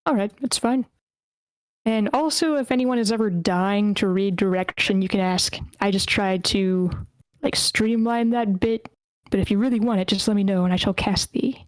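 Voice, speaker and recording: महिला होस्ट, बिल्कुल सूखी, बिना गूंज वाली आवाज़. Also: female voice, mid-range voice, moderate pace, no echo, poor audio. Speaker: host of an online screenplay table read. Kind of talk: casual chat, moderating an online meeting. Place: online voice meeting, dry close sound.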